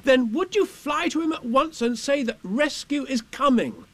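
A man's voice: very high voice, lively intonation, normal speed, pitched above the performer's own voice, full character voice. A man voicing an innocent, affectionate young boy in a children's story, earnest and childlike. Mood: urgent. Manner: bright-eyed, earnest, childlike questioning.

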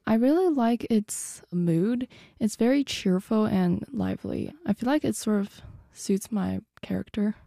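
Teen girl, mellow voice with vocal fry, casual and giggly, dry studio sound. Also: medium pitch, a little slow, no room sound. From United States. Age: teens. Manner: soft-spoken, giggly, many "like" fillers. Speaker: guest musician. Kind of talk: casual interview.

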